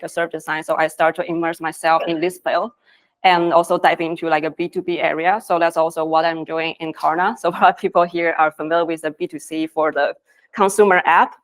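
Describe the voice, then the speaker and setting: Woman, conference speaker, earnest, slightly low voice. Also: clean tone. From Taiwan. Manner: earnest, with non-native phrasing. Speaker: conference speaker, design lead. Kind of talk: conference presentation. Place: conference stage.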